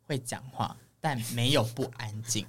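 A man's voice: medium pitch, unhurried, very noisy. A man with a Taiwanese Mandarin accent co-hosting a casual chat show with a playful, teasing manner. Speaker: podcast co-host.

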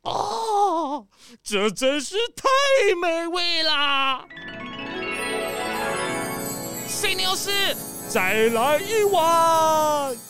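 An actor playing a pompous, gluttonous rich male crocodile boss in a children's audio drama, showy, with drawn-out exclamations and sighs. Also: extremely high pitch, lively intonation, music in the background, heavily acted. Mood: overwrought.

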